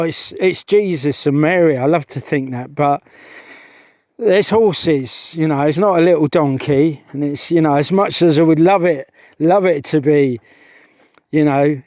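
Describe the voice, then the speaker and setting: A man's voice: fairly high pitch, darkish tone, no echo. Chatty man rambling outdoors. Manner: chatty, meandering, self-interrupting. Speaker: UFO investigator vlogger. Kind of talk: rambling outdoor livestream chat. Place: outdoors on a heath at night.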